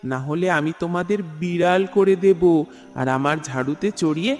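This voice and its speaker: A man's voice: fairly high pitch, natural voice. A man voicing a menacing, cackling witch in a children's cartoon, with taunting, theatrical delivery. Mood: threatening. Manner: taunting, theatrical, drawn-out laughs. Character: menacing cackling witch who runs a magical biryani shop. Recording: long reverb, music behind.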